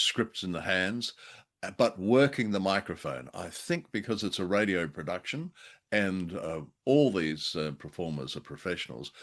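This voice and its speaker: A man's voice: sits low, a bit bright. An Australian man co-hosting a casual radio chat show, speaking in a wry, jovial, hesitant and wordy way. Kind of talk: casual conversational review of old radio drama.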